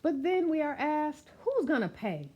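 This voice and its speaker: A woman reading a rhyming picture book aloud as a storyteller, expressive and emphatic, with questions and asides to her listeners. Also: high voice, measured pace, highs cut off.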